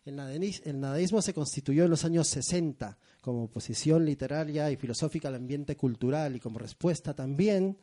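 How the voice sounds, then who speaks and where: A man's voice: fairly high pitch, brisk, hardly any pauses, mellow. A middle-aged man from Peru hosting a live poetry segment before an audience, moving from theatrical introductions to loose, self-mocking asides.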